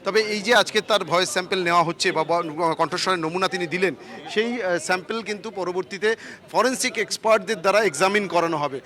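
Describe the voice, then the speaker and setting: A man's voice: sits high, fairly quick, sharp tone. A man with a West Bengal accent giving an unscripted live field report outdoors, in emphatic, repetitive phrases. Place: outdoors on a city street outside a court.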